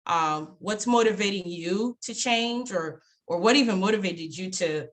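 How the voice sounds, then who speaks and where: A young woman from Zimbabwe, presenting a casual online finance workshop over a video call in a relaxed, chatty way with many fillers. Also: mid-range voice, unhurried.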